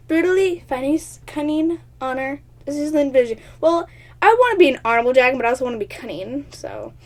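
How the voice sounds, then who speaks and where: A woman host reading a text game aloud with chatty, excitable commentary, stumbling over words and laughing often. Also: high-pitched. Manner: laughs often, talks at herself. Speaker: gaming channel host.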